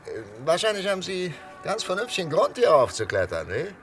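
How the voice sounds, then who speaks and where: A man playing a calm, coaxing psychiatrist in a 1960s German radio thriller, talking in a hesitant, conversational way. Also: high voice, a little fast, faint music behind, exaggerated voice. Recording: 1963 radio recording, faint noise.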